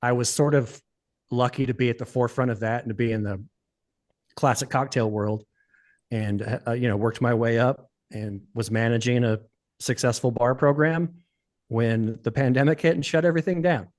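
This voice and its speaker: Relaxed, rambling man in his forties. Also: mid-range voice, normal speed. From United States. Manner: relaxed, rambling, many fillers. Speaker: podcast guest. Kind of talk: casual interview chat.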